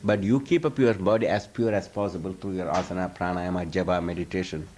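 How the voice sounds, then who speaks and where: A man with a fairly low voice teaches before a live audience in a loose question-and-answer session. He wanders from point to point, restarts his sentences often and lets out frequent chuckles. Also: steady tone, fast, a little mellow, slight background noise. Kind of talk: informal lecture Q&A.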